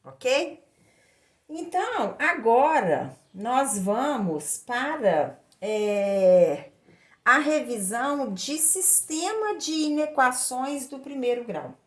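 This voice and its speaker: A woman's voice: sits high, sing-song, very slow. A woman with a Brazilian accent explaining a lesson step by step as a maths teacher, in a didactic way with repeated phrases. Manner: didactic, repeats phrases and checks in with short tag questions.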